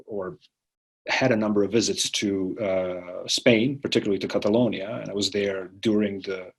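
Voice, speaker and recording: A man's voice: on the low side, fairly even intonation, normal speed, gravelly, slightly rough. A man from Croatia, an academic answering interview questions thoughtfully, with many fillers and restarts. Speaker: interviewee, political science academic.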